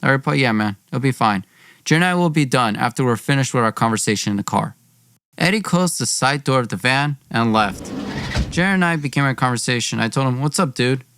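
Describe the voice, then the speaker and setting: A man's voice: medium pitch, animated, fast, a bit bright, clear, resonant tone. A male podcast host telling a personal story in a colloquial, earnest way, re-enacting bits of dialogue as he goes.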